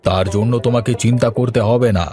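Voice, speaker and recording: A man playing a kindly, decisive older uncle in an animated moral story, with a firm, fatherly manner. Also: medium pitch, fast talker, music in the background, lightly acted. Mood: reassuring, urging.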